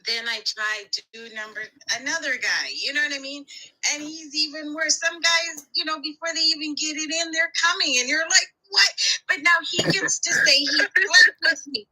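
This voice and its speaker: Bright, candid middle-aged woman from Trinidad. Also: sits high, moderate pace, rough recording. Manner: candid, conversational, seeking agreement. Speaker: call-in guest. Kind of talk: casual frank chat.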